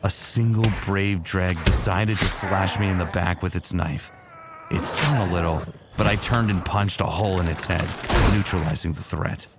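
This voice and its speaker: A man's voice: low-pitched, moderate pace, heavy background noise. A man playing a smitten, teasing sci-fi warrior who narrates his own exploits in a fan audio drama, casual and wry. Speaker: narrator and lead character.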